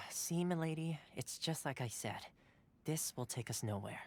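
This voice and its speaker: A man's voice: fairly high pitch, lively intonation, measured pace. A man playing a genial, polite retainer of a noble house in a video game, with formal wording. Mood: exasperated. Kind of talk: video game character acting.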